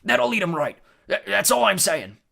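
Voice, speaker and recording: A man voicing a big, rough, loyal, hot-tempered gamekeeper who speaks bluntly and loudly in a storybook. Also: high voice, big pitch swings, moderate pace, pitched above the performer's own voice, full character voice. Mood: careful, loud. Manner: blunt, loud, emphatic.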